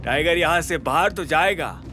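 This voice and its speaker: Menacing gang gunman , played by a male narrator: taunting, deliberate, gloating, lively swings in pitch. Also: soft background music. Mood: cold menace. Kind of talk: Hindi audio drama villain.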